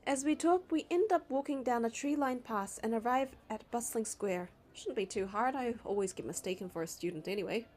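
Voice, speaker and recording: A woman's voice: fairly high pitch, brisk, hardly any pauses, a little mellow. A woman reading scripted story narration and dialogue aloud for a game playthrough. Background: background music.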